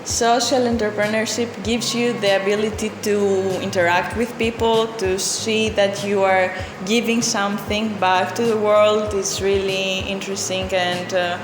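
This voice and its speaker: A woman in her twenties, introducing herself in an interview and pausing often with fillers. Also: mid-range voice, little pitch movement. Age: 20s. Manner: hesitant, many fillers. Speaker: co-founder of a non-profit. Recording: echoey hall recording. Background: background music.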